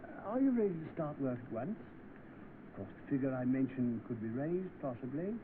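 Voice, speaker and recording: An actor playing a suave, formal boss in an old film, speaking with insinuating pauses. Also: male voice, medium pitch, very animated, close to an everyday voice. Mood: suggestive. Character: suave, insinuating male boss interviewing a typist. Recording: tinny, noisy old film soundtrack.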